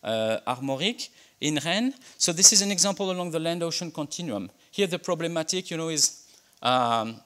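A man's voice: fairly high pitch, expressive, normal speed, fairly bright tone. A middle-aged man from France with a French accent, giving a conference keynote at a podium in a lecture hall, speaking hesitantly with many fillers and restarts. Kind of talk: conference talk with slides. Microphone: podium microphone.

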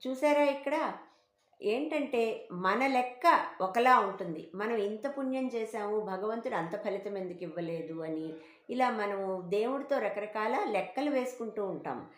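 A woman's voice: medium pitch, animated, measured pace. A woman telling a moral bedtime story for children in a conversational, explanatory, didactic way. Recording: roomy, echoing home recording.

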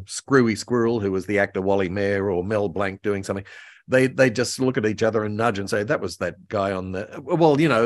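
Low-voiced older man, halting and rambling. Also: no echo, clean studio sound. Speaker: author and voice actor, guest. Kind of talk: casual interview chat, reminiscing. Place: home room, indoors, on a video call. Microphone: computer mic on a video call.

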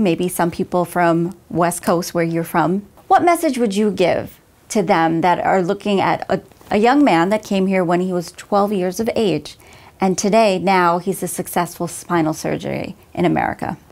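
A woman's voice: fairly low pitch, normal speed, a little mellow. A woman in her thirties from Guyana, hosting a talk show interview with questions and thanks. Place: office, seated at a desk.

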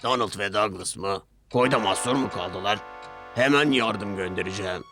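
A man voicing a brisk, formal, authoritative middle-aged railway controller in a children's story. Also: on the low side, over music, close to an everyday voice. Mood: concerned, decisive. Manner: formal, commanding.

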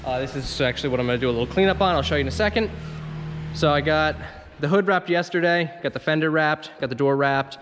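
A man's voice: on the high side, fast, fairly bright tone, slight background noise. A man who runs a car detailing and wrap shop, chatting loosely with lots of fillers as he walks viewers through the day's work, moving in and out of doors with a handheld camera. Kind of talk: casual shop vlog walkthrough.

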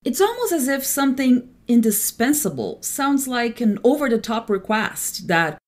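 A woman's voice: a little high, very animated, moderate pace, darkish tone. A woman who speaks Portuguese natively, hosting a solo podcast and explaining things with careful enunciation. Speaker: podcast host; translator.

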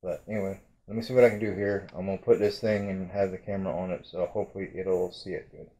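Middle-aged male vlogger, deep and warm, hesitant and chatty, close camera mic in a bedroom. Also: flat delivery, fast talker, a little hiss or hum. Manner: hesitant, many fillers and restarts. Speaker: urban exploration vlogger. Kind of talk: casual rambling vlog talk. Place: bedroom at home, indoors. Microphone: webcam or phone at arm's length.

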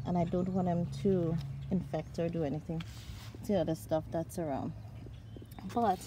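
An excitable woman chats her way around her backyard garden and thinks aloud about what she sees. Her voice sits at an everyday middle pitch. The phone recording picks up a clear outdoor hum behind her. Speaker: gardening vlogger. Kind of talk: casual garden tour walkthrough. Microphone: handheld phone camera. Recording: outdoor ambience with noise.

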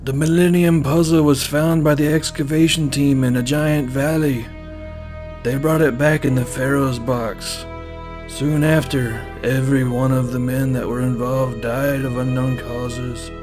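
A man's voice: medium pitch, level tone, measured pace, music in the background, lightly acted. A man voicing an old grandfather in an anime fandub, a cheeky storyteller. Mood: grave storytelling. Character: cheeky old grandfather, a grave teller of legends.

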